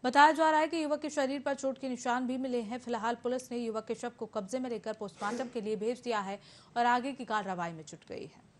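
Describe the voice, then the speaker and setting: A woman's voice: fairly high pitch, fairly even intonation, a little fast. A woman anchor narrating a television news bulletin in a formal scripted style. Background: background music.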